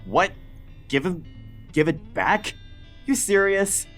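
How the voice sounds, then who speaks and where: A sly little stoat toady, performed by a man in an audio drama. He needles and sneers in an animated, swooping voice, drawing his words out slowly. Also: high voice, faint music behind, heavily acted. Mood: disbelieving. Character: small sly stoat, toadying male sidekick. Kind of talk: audio drama character acting.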